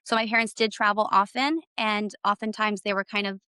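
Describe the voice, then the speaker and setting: A woman's voice: medium pitch, fairly even intonation, brisk, sharp tone, clear, resonant tone, no room sound, studio quality. A middle-aged woman from Canada, a guest in an interview, speaking reflectively with hesitant fillers. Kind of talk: personal interview conversation.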